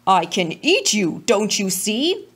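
A woman voicing a hungry, calmly menacing male lion in a children's storybook, deliberate and taunting. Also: medium pitch, slightly rough, exaggerated voice. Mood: calm menace.

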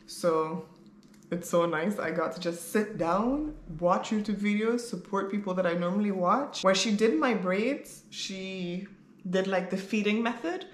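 A woman in her thirties chatting casually to camera as a vlogger, candid and rambling. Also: medium pitch. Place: bare-walled room at home, indoors. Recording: roomy echo, clear background noise.

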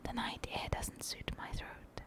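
A woman's voice: a little slow, natural voice. A woman voicing a prim, fussy old storybook magpie in a whisper. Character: fussy old magpie excusing itself to leave.

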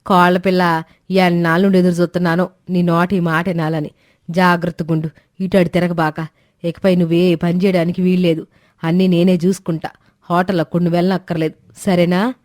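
A woman voicing a kindly, anxious older mother-in-law, soothing and pleading as peacemaker in an animated moral story. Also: on the low side, brisk, dry recording, studio quality, close to an everyday voice. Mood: tender.

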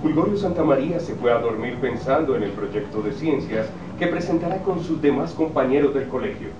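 A man's voice: medium pitch, animated, fairly quick. A man reading scripted radio drama narration aloud. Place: TV studio rehearsal set, indoors. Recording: echoey distant camcorder sound, muffled, noisy.

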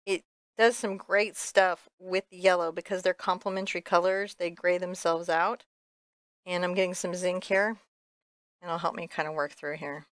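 A woman's voice: medium pitch, fairly bright tone, dry recording. A woman teaching painting in a casual, chatty way, with playful, self-deprecating banter as she works in a studio. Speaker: painting teacher, show host. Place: indoor art studio.

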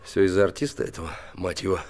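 A man's voice: low-pitched, level tone, quick pace, a little mellow, a little hiss or hum, natural voice. An actor as a blunt, lovesick provincial handyman. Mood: bitter, blunt. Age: 30s. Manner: colloquial, blunt, earthy. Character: gruff, warm-hearted provincial handyman, lovesick and bitter. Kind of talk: naturalistic television drama acting. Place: small wooden house room.

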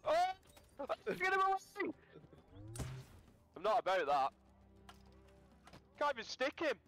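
A man's voice: very high-pitched, takes it slowly, faint music behind. A man joking around with friends during a co-op shooter game. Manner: animated, jokey. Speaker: co-op player. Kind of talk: casual gaming banter.

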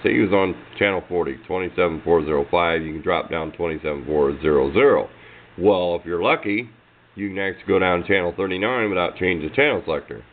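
A man's voice: low-pitched, fast talker. A man giving a casual, hands-on explanation as a hobbyist, rambling along in folksy, informal speech on noisy, muffled amateur camera audio. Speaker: hobbyist presenter of radio demonstration.

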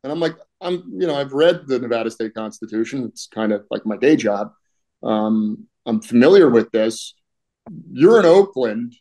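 Middle-aged man, mellow voice, throat-clearing. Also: mid-range voice, lively intonation, moderate pace, poor audio. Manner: conversational, many fillers, clears throat often. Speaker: union political director, guest. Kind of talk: casual video-call interview. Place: home room, indoors.

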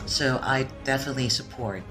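A woman's voice: extremely low pitch, a little fast, music in the background. A woman from the Philippines giving an earnest, upbeat statement in a short social media clip.

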